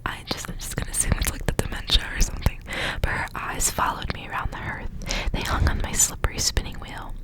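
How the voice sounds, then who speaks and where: A woman whispering as an ASMR host, reading stories aloud with casual asides in a hushed, unvoiced delivery. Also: fast talker, poor audio. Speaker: ASMR reader, host of the channel.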